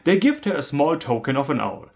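A man's voice: a little high, very animated, brisk, darkish tone. A man in his thirties from Germany with a German accent, delivering a scripted, humorous film review in a sardonic manner.

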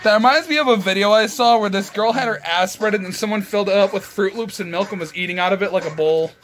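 An excitable young man chatting casually and swearing with friends in a bedroom. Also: sits high, fast talker. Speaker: video maker. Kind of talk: casual profane chat with friends. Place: small bedroom, indoors.

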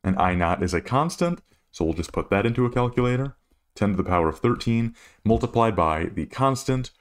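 A man working as a physics tutor, explaining a worked problem methodically, step by step. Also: on the low side. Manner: methodical, instructional.

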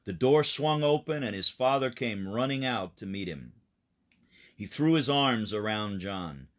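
A man narrating a children's story in an expressive, dramatic storytelling manner. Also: on the low side, measured pace. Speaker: pastor reading a children's story.

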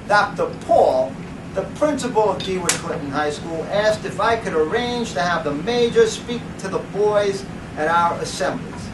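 A middle-aged man giving a dramatic, deliberate reading to a live audience, in a theatrical, declamatory way. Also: high voice, expressive. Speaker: presenter reading at a book event. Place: indoor library event room. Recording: roomy, noisy, distant camera microphone.

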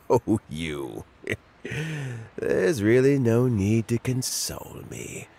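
An actor playing a kindly, reflective elderly official in a video game, talking in a measured, musing way over background music. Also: male voice, mid-range voice, very animated, creaky, warm tone, a little hiss or hum, heavily acted. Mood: warm, nostalgic. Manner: measured, musing, chuckling delivery. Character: elderly male government elder, kindly, reflective, fond of fishing.

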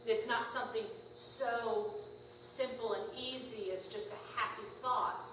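A middle-aged woman pastor giving a conversational, gently humorous sermon in a small church. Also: fairly high pitch, steady tone, measured pace, noisy background. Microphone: far room camera. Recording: echoey church from far off.

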